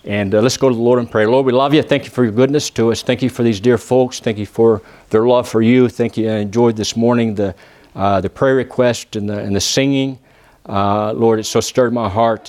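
A man from Texas with a Texan accent, preaching a church sermon and praying, often pausing with "uh" and asking the congregation for an "amen". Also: lowish voice, darkish tone. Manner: peppers phrases with "amen?" asking the congregation to answer; halting, with many "uh" pauses and restarts. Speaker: visiting preacher.

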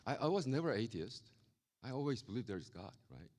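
Korean-accented male pastor, middle-pitched voice, animated storytelling preacher. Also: fairly quick. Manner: animated, acts out quoted voices. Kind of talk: storytelling sermon.

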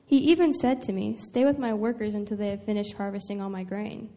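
A woman's voice: medium pitch, steady tone, fairly quick, warm tone, lightly acted. A woman playing a dutiful, humble young daughter-in-law in a dramatic scripture reading, earnest and deferential.

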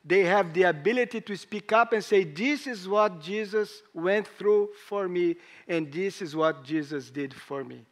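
A hoarse man preaching a sermon in English, speaking in halting phrases with rising emphasis. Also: high-pitched. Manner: slow, halting delivery with pauses and rising emphasis; non-native English with grammar slips.

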